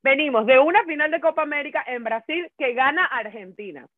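A woman from Brazil co-hosting a casual sports chat, talking in an indignant, expressive way. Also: high voice, brisk, no echo. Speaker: co-host. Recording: tinny phone-like line.

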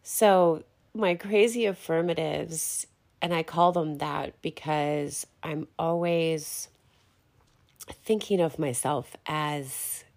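Slow, gravelly-voiced woman podcaster. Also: deep voice, a little mellow. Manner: rambling, self-deprecating, laughs often. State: congested, recovering from illness. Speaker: podcast host. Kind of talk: casual solo podcast monologue.

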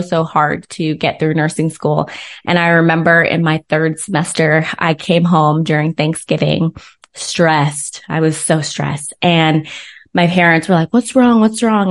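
An American woman with a slightly low-pitched voice, speaking as a guest in an interview. She tells personal stories in a chatty, informal way and slips quoted conversations into them. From born in Zimbabwe, raised in the United States. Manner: chatty, informal, animated retelling with quoted dialogue. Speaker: interview guest, business coach. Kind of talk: casual personal storytelling in an interview.

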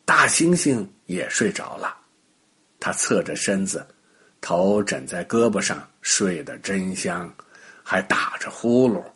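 A man tells a bedtime story to young children. His voice is husky and breathy, and he moves through it slowly with very lively, swooping intonation. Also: low voice. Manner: animated, unhurried, child-directed.

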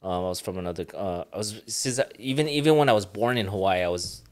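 Chill guy in his 40s, ilocano first language, kinda low voice, jokes around, lots of um and like. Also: animated, fast talker, darkish tone. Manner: laid-back, joking, frequent fillers. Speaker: podcast guest, retired boxer. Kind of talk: casual interview chat.

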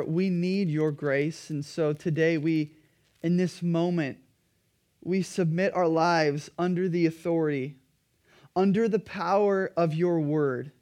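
A pastor preaching a sermon in an earnest, emphatic way, his throat a little dry and raspy. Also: fairly high pitch, warm tone.

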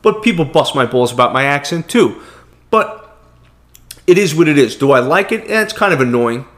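A man's voice: on the high side, a bit bright. A man from Brooklyn, New York, with a Brooklyn accent, co-hosting a podcast in blunt, heated casual talk. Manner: blunt, heated, repeats words. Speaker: podcast co-host. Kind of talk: casual opinionated chat.

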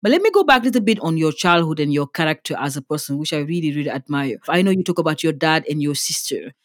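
A woman's voice: deep voice, very animated, fast talker, dry recording. Enthusiastic, chatty woman host; dry, close recording. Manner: enthusiastic, chatty, uses you know. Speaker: podcast host. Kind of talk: podcast interview.

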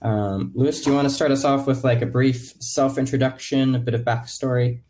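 A man's voice: medium pitch, fairly even intonation, normal speed, darkish tone. A man hosting a casual live interview, putting informal questions with some hesitant phrasing. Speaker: interviewer, meetup host.

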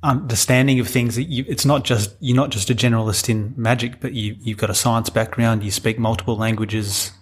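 Man, podcast host, husky voice, short prompts, casual questions. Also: mid-range voice, steady tone, fairly quick, few pauses. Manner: brief prompting interjections.

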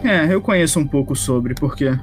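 A woman's voice: low voice, expressive, normal speed, faint music behind, pitched below the performer's own voice. A woman playing a curt, guarded young man. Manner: curt, evasive replies. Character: guarded young male engineering student. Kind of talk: playing a character in a tabletop game.

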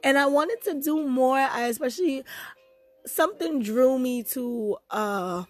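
A young woman talks with a fairly high voice that is hoarse, as if she is losing it to a cold. She hosts her own show in a chatty, informal way. Her manner is bubbly and easily amused, like catching up with friends. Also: animated, measured pace, no room sound, faint music behind. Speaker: host of a personal podcast. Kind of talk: casual chat.

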